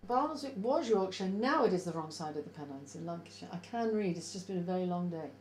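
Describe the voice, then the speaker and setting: A woman's voice: on the low side, lively intonation, fast, darkish tone, reverberant, a little hiss or hum. A woman with a Welsh accent co-hosting a casual livestream, playful and teasing with dry jokes. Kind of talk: informal livestream chat.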